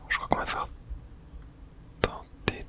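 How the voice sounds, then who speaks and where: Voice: unhurried, pushed and bright. A person whispering breathily while narrating their own video game play in an ASMR style, murmuring reactions and mild swearing.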